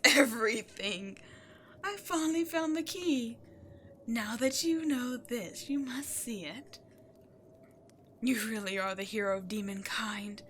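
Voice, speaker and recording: A woman playing an imperious, scheming demon queen in a dramatic audio roleplay, seductive and easily exasperated, moving between outbursts and breathy near-whispers. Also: mid-range voice, fairly bright tone, a little hiss or hum, natural voice. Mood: triumphant, persuasive. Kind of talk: scripted audio roleplay, theatrical monologue to the listener.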